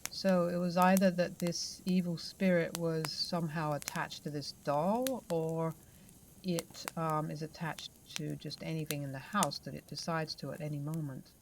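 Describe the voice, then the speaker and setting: Female narrator, low-pitched, soft conversational storytelling of paranormal tales. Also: fairly even intonation, normal speed, a little hiss or hum.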